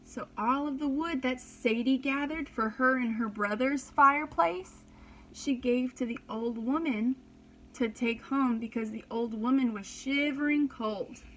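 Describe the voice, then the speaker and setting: A woman pre-kindergarten teacher narrates a picture book with slow, expressive care. Her voice is fairly high, and she explains things for young listeners over faint background noise. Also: poor audio. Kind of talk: read-aloud storybook narration for young children.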